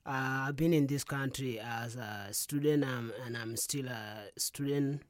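A young man from South Sudan with a South Sudanese accent and Dinka as his first language, a musician guest talking softly in a radio studio interview. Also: medium pitch, unhurried, no room sound. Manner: soft-spoken, frequent "yeah" and "you know".

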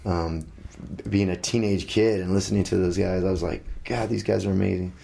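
A middle-aged musician being interviewed, talking casually with many halting restarts. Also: male voice, deep voice, fairly even intonation, vocal fry, mellow.

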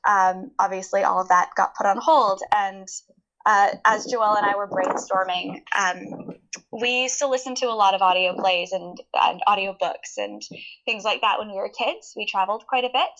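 Female interview guest, medium pitch, conversational and explanatory storytelling, dull low-fidelity recording. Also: fairly even intonation, normal speed, a bit bright. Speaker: interview guest, audio drama producer.

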